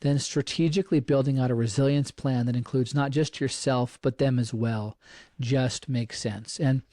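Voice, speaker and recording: A middle-aged man talks through practical advice in an earnest, casual podcast manner, circling back and repeating himself. His voice is mellow and mid-pitched. The sound is dry and close, with no room around it. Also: steady tone, normal speed, dry recording. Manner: earnest, repeats phrases and restarts often. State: slightly froggy voice. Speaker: podcast host.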